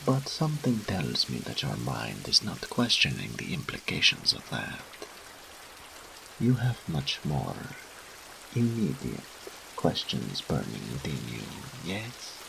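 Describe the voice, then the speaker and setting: A man's voice: rumbling low, wildly swooping pitch, slow, vocal fry, background noise. A man voicing a smug, seductive demon incubus in a roleplay audio drama, with a teasing, haughty, theatrical drawl. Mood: teasing, dramatic.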